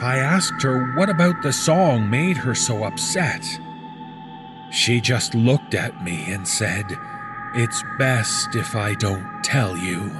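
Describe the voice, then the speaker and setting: A man reading a scripted first-person horror story in a measured, deliberate storytelling manner. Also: lowish voice. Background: background music.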